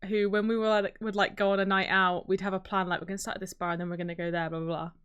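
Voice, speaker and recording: A woman co-hosting a podcast, chatting informally at a middle pitch. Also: level tone, rapid-fire, fairly bright tone, clear, resonant tone. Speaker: podcast co-host. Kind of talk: casual pop culture chat.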